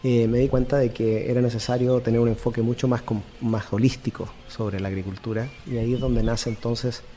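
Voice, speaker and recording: A man's voice: mid-range voice, flat delivery, a little fast, mellow, big-room sound. A middle-aged man explains things didactically as an interview guest, often checking in with the listener. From Chile. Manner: didactic, with rhetorical check-ins. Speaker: interview guest, agronomy expert.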